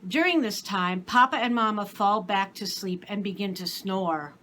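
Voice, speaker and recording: A woman reading a story aloud in expressive, scripted storybook narration. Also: medium pitch, moderate pace, slight background noise. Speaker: story reader.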